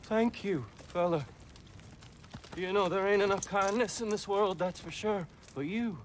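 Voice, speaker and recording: A man's voice: high voice, a little slow, slight background noise. An actor playing a desperate frontier fugitive, a polite and earnest American man who pleads deferentially, in a dramatic video game. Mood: relieved, grateful.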